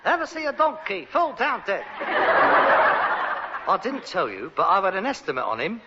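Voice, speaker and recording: An actor playing an exasperated, sarcastic adult son with mock-refined airs and a London Cockney accent in a vintage British sitcom. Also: male voice, high voice, wildly swooping pitch, a little slow, full character voice. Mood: gleeful taunting. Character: exasperated, sarcastic adult son with aspirations, rag and bone man. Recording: dull, noisy vintage broadcast recording.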